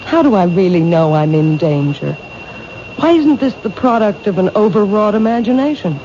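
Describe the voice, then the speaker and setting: A woman's voice: mid-range voice, lively intonation, moderate pace, mellow, lightly acted. An actress playing a frightened woman stalked by a killer in a 1970s radio drama, speaking hesitantly and doubtfully. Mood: anxious. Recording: muffled old radio broadcast with hiss.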